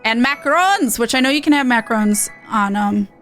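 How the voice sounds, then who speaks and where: A woman's voice: on the high side, lively intonation, fairly quick, a bit bright. A woman game streamer giving casual, playful, chatty commentary on her playthrough. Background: game music.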